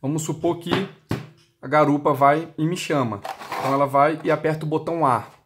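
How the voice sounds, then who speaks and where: A man's voice: on the high side, a little fast, background noise. A man with a Brazilian accent demonstrating a product step by step, explaining by asking and answering his own questions. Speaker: product demonstrator for motorcycle intercom seller.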